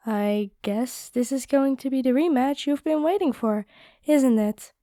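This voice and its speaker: A lightly high female voice acted by a woman for a fantasy fighter lady. She is playful and teasing, with casual, chatty delivery. The sound is dry and free of room echo. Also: slow pace, mellow. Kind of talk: scripted fantasy audio roleplay.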